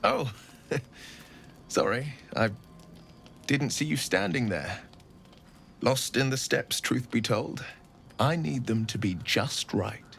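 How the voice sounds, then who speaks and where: An actor playing a courtly, romantic young adventurer in a fantasy video game, tender and bashful, with gallant, poetic phrasing. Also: male voice, mid-range voice, expressive, takes it slowly, gravelly, long pauses, fairly bright tone, slight background noise, exaggerated voice. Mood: charmed, tender.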